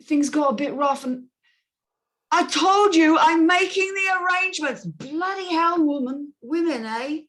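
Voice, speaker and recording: A woman's voice: very high-pitched, measured pace, a bit bright, voice pushed up. A woman voicing a drunk, irritable British male hotel guest blustering down the phone in a script reading. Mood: irritated, blustering. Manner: blustering, exasperated. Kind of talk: script table-read acting.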